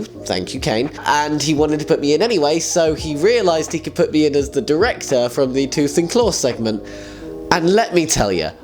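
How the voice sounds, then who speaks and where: A young man hosting a homemade fan show in casual chat. He sounds slightly nasal and congested from a cold, laughs at his own jokes, and his voice sits a little high. Soft music plays faintly underneath. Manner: chatty, self-mocking, laughs often. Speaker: host of a fan community show. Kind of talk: casual solo video chat.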